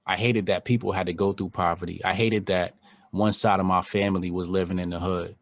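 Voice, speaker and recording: A man's voice: sits low, level tone, fairly quick, no room sound. A man speaking as a podcast guest, earnest and reflective as he talks from personal experience. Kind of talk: candid conversation.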